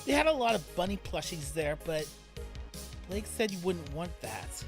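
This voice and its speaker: An earnest young student, as a man plays him, explaining plainly. His pitch moves a lot. Soft music sits underneath. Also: sing-song, moderate pace.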